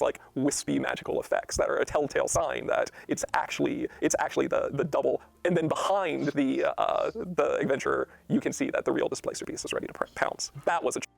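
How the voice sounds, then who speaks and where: A man speaks in an explanatory interview style with a fairly high, creaky voice. He is earnest and detailed, stressing his points as he goes. The sound is very dry. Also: dry recording. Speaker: game designer discussing a rulebook.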